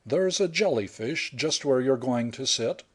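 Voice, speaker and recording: A man playing a calm, teasing young man. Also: medium pitch, slightly rough. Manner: unhurried, dry, wry.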